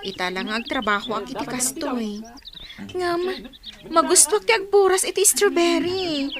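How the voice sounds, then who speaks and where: Woman playing tired young village wife in ilocano radio drama, really high voice, ilocano accent, talks back a bit. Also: expressive, measured pace, over a noisy crowd. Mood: meek, tired. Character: hardworking, weary young village wife, long-suffering but quick to retort.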